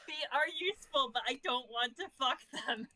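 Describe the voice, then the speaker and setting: A woman's voice: sits high, moderate pace, pushed and bright, dry recording. A woman chatting casually as a player in a tabletop game, speaking in a blunt, emphatic way. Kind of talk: casual chat.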